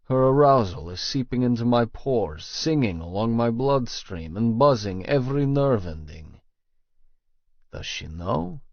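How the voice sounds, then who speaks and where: A man with a gravelly voice narrating a first-person romance audiobook. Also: medium pitch, expressive, measured pace, no room sound, dull audio.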